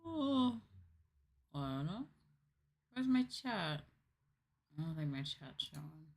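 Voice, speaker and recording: Slow, low-pitched woman streamer chatting casually. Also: very animated. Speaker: VTuber livestreamer. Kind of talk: casual livestream chat, thinking aloud.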